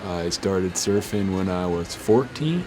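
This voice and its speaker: A man of sixty, a longtime surfer, speaking in a relaxed, musing way. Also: low voice, measured pace, background noise. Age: 60s. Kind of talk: casual interview talk.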